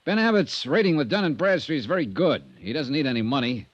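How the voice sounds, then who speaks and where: An American actor playing a self-assured, persistent insurance investigator in a 1950s radio drama, speaking in a clipped, dry, probing way. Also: male voice, fairly high pitch, expressive, fast talker, no room sound, highs cut off. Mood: businesslike. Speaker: lead actor and narrator. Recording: noisy vintage radio recording.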